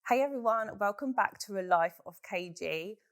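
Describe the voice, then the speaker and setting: A woman hosting a solo podcast Q&A, hoarse from a chest infection, talking in a frank, chatty way. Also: medium pitch, animated, normal speed, no echo, studio quality. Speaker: podcast host. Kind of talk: solo podcast Q&A, casual chat.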